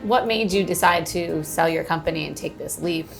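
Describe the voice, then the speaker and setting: A woman interviewing a guest for a magazine, asking brisk, prepared questions and adding short encouraging remarks. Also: on the low side, vocal fry. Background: music.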